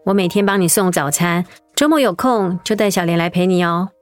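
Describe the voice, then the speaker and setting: A woman acting a kind young neighbor in a radio drama, speaking gently and reassuringly to an older woman. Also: lowish voice, brisk, faint music behind, natural voice. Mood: caring.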